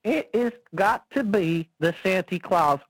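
Brief: a man doing a brash folksy Texan caller, very high and swooping, dry sound. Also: expressive, measured pace, no echo, full character voice, rough recording. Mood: blustery. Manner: brash, folksy, keeps calling people boy.

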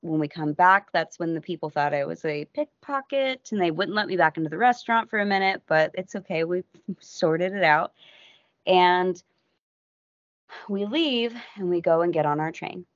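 An American woman, a podcast guest, telling a travel story in a casual, animated way that keeps breaking off and acting out what people said. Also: on the low side, dry recording. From United States. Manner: animated, self-interrupting, acts out quotes. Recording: dull lo-fi audio.

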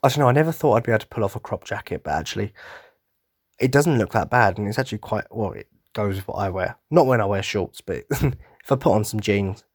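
A man hosting a casual fan podcast, tired and losing his voice, husky, rambling with lots of ums and restarts. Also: fairly low pitch, lively intonation, fast, no room sound, studio quality. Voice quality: husky, throat-clearing. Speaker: podcast host.

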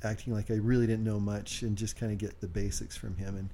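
Quiet, mellow-voiced man with faint hiss. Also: fairly low pitch, flat delivery, fast. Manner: quiet, hesitant, many fillers. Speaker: podcast co-host. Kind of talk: casual podcast chat.

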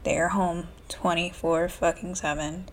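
A woman in her twenties hosting a solo podcast, talking low and hushed in a candid, profane casual chat from a room in a small house. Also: level tone, a little slow, a little hiss or hum. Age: 20s. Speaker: podcast host. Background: faint classical music.

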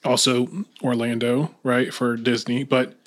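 A man's voice: medium pitch, level tone, a little slow. A man hosts a casual podcast chat, rambling as he goes. He asks question after question and often breaks off and restarts mid-sentence. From Florida. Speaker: podcast host.

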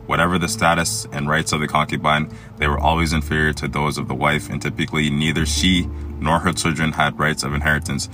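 A man from Egypt hosting a solo podcast, reading a text aloud and commenting on it in a halting way with many fillers and restarts. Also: very deep voice, level tone. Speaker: podcast host. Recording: music playing underneath.

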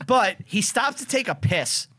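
A man with a notably high speaking voice, chatting casually as a podcast co-host. He retells movie scenes with gusto and swears freely, his tone loose and conversational. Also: sing-song, unhurried, pushed and bright. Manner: profane, enthusiastic retelling of scenes. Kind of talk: casual movie recap chat.

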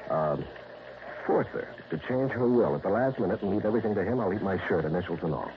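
A man's voice: deep voice, animated, fast. An actor playing an eager, talkative small-town radio newsman in an old radio drama. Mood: insinuating. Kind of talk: 1960s radio drama acting. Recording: dull old radio recording with hiss.

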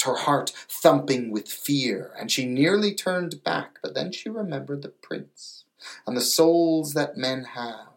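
Slow-paced man reading a fairy tale. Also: mid-range voice. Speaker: storybook reader. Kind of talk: fairy tale narration with casual, wry asides.

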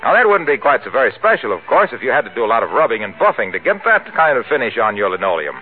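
A man's voice: mid-range voice, sing-song, rapid-fire, faint music behind. A man announcing a radio advertisement in polished, upbeat 1940s sales style. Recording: tinny 1940s radio broadcast.

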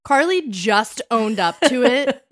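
A woman in her thirties casually telling personal stories as a podcast co-host, animated and emphatic, repeating phrases for effect. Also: on the high side, slow pace, no echo, studio quality. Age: 30s.

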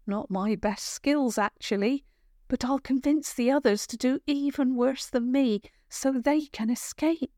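A woman voicing a brisk, confident young village girl in a children's story, matter-of-fact and a little bossy. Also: high voice, mellow, dry recording, studio quality, voice pushed up.

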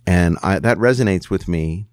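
A man's voice: low voice, normal speed, a little mellow, no echo, clean studio sound. A man in his sixties, a songwriter guest musing candidly in an interview, thinking aloud as he goes. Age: 60s. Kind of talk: reflective interview conversation.

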